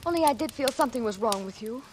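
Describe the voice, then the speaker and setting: A woman's voice: a little high, animated, fast talker, natural voice. An American actress in a 1940s radio drama playing a steadfast, accusing wife who confronts a dangerous man. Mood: firm, accusing. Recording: noisy vintage 1940s radio recording.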